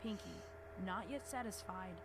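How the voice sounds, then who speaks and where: A woman's voice: medium pitch, measured pace. A woman giving a dramatic, scripted narration of a horror story in an audio drama. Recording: loud noise behind narration.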